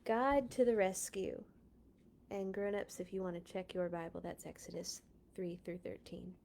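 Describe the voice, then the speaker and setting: A woman hosting a children's read-along, reading storybook text with deliberate dramatic emphasis and dropping into casual asides. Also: fairly low pitch, steady tone, gravelly, darkish tone, slight background noise. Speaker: children's read-along host and Bible school teacher.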